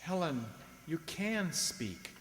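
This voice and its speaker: A man playing a kindly, earnest doctor in a radio drama, speaking in a halting, pleading manner. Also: a little high, very animated, a bit bright, slight background noise, heavily acted. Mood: gentle, apologetic. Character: earnest, kindly, urgent male family doctor. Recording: reverberant hall.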